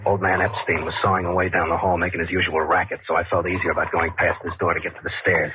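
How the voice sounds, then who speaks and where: A man's voice: low voice, level tone, fast, phone-quality sound, background noise, natural voice. An actor playing a furtive, frightened man with a deadly plan, telling his story tensely in 1940s radio suspense style. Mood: hushed, fearful. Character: furtive, frightened man plotting a killing, narrating his deed. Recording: 1940s radio recording with loud organ-era music behind.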